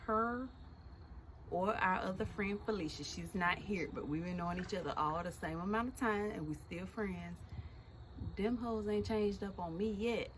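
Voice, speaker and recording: A woman in her forties chatting casually and laughing loudly with an old friend for her video. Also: mid-range voice, slight background noise. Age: 40s. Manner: loud laughing, expressive, slangy. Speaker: vlog host.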